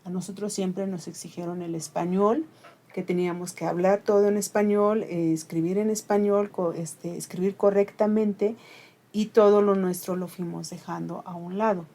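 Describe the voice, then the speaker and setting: A woman's voice: mid-range voice, steady tone, normal speed, mellow. Earnest woman with a Mixe accent. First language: Ayuujk (Mixe). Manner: earnest, reflective, with frequent fillers like este. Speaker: radio host. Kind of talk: conversational radio talk.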